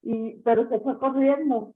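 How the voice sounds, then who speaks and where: A Mexican woman tells a personal story in a slightly high voice, pausing often on small fillers. She talks very slowly. She is heard over a thin, tinny call line. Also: steady tone. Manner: slow and halting, with many "este" fillers. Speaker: guest medium. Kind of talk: recounting a personal experience.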